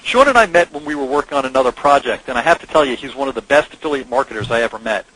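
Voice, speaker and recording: A man's voice: mid-range voice, expressive, very fast, pushed and bright, low-quality recording. A man speaking as co-founder and host on a conference call, giving a conversational, promotional sales presentation. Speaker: company co-founder hosting a presentation. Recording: clear background noise on conference call.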